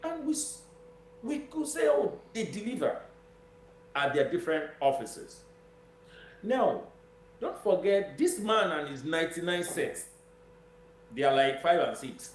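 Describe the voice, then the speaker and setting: A man's voice: a little high, sing-song, measured pace, pauses often. A man with a Nigerian accent giving political commentary as an interview guest, emphatic and repeating phrases for stress. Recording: roomy echo with noise and hum.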